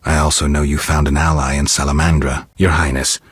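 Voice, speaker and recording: An actor voicing a stoic, sardonic monster-hunting warrior in a video game, gruff and gravelly, with a terse, deadpan way of talking. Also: male voice, very deep voice, brisk, no room sound, heavily acted. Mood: dry, composed. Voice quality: gravelly, husky rasp.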